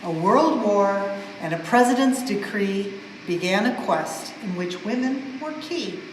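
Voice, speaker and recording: A woman reads a storybook aloud for children with expressive, measured emphasis on the rhymes. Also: medium pitch. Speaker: museum staff member reading a story aloud. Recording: very echoey large hall, some noise.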